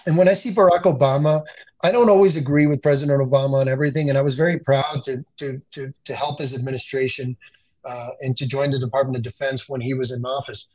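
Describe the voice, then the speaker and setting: A man's voice: medium pitch, steady tone, quick pace, a little mellow, dull audio. A man from the Dominican Republic, a communications expert speaking earnestly as a guest in a political interview, often restarting and repeating words.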